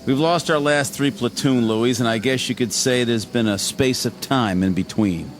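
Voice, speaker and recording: An actor playing a tired, mildly insolent army private in a radio drama, casual and a little hesitant. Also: male voice, medium pitch, normal speed, lightly acted. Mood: dry, insolent. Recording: dramatic music under the dialogue.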